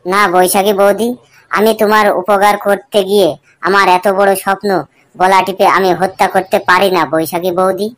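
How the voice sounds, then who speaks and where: A man's voice: sits high, level tone, moderate pace, fairly bright tone. Man voicing a bawdy, grumbling young village bachelor. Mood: pleading, stubborn. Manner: colloquial, complaining, pleading. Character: Futo, bawdy young bachelor, pleading and evasive. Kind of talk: comic cartoon dialogue and muttered asides.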